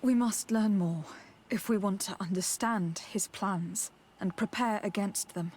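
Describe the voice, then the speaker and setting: An actress playing a resolute young noblewoman diplomat in a video game, speaking in a measured, earnest and formal way. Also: mid-range voice, darkish tone, slight background noise, lightly acted. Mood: determined. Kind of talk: video game cutscene acting.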